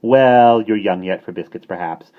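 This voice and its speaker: A man voicing a boastful, excitable male starling with a harsh, croaking voice that turns haughty or wheedling. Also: fairly low pitch, moderate pace, natural voice. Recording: dull lo-fi recording.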